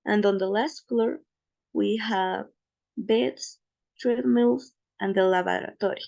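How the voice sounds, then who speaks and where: Gravelly-voiced woman presenting slides. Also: mid-range voice, steady tone, a little mellow, lo-fi sound. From Ecuador. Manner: careful, slightly halting non-native English with fillers. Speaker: student presenter.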